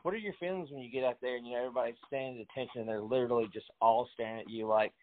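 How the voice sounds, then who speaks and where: A man hosts a relaxed interview, talking quickly and rambling through his questions with "like" and "uh". His voice comes through narrow and tinny, as over a phone. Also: mid-range voice. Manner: rambling, eager, many fillers. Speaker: interview host. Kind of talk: casual interview chat.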